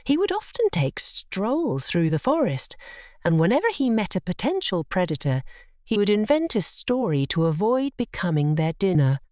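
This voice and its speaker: A woman's voice: medium pitch, sing-song, moderate pace, darkish tone, no echo, studio quality. A woman narrating scripted bedtime stories for children as a storyteller.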